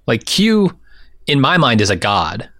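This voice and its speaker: A man's voice: medium pitch, very animated, measured pace, fairly bright tone, no room sound, studio quality. A man, co-hosting a podcast and giving his opinions in casual chat. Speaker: podcast co-host.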